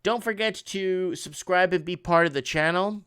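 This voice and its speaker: A man's voice: high voice, fairly bright tone, smooth, dry recording, studio quality. Man reading news with stumbling asides. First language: Portuguese. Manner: halting, restarts often, reads articles aloud with asides. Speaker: online news show host. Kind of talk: casual commentary reading headlines.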